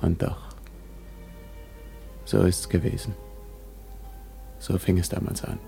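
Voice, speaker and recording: A brooding grown son in a 1950s radio play. His voice is low and creaky with very little movement, weary and subdued. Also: little pitch movement, takes it slowly, mellow, soft background music, full character voice. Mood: brooding, wistful. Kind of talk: 1950s German radio drama acting.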